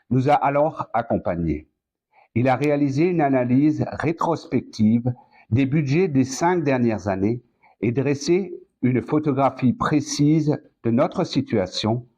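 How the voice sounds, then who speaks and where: A man delivering a prepared, formal political speech as a council president, in a measured, deliberate manner with short, careful phrases. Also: mid-range voice, a little mellow. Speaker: president of an intercommunal council addressing elected officials.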